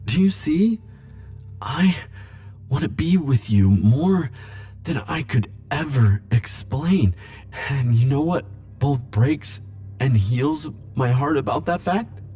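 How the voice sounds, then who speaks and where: An actor playing a lovestruck immortal man, a tender and yearning hopeless romantic, in an intimate ASMR roleplay, with breathy, hesitant, pleading phrasing. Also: mid-range voice, animated, takes it slowly, mellow, soft background music.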